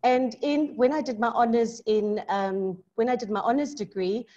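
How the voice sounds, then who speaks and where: A woman's voice: fairly high pitch, moderate pace. Outgoing South African woman on a video call. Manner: lively, emphatic, outgoing. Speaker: maths tutor and business owner. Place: home study with bookshelves. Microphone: laptop mic on video call. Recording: muffled video call audio.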